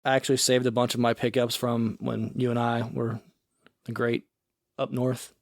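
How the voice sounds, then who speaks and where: A man's voice: mid-range voice, moderate pace, gravelly, dry recording, clean studio sound. Laid-back man rambling on a podcast. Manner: relaxed, rambling, casual swearing. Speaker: podcast co-host. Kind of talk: casual chat.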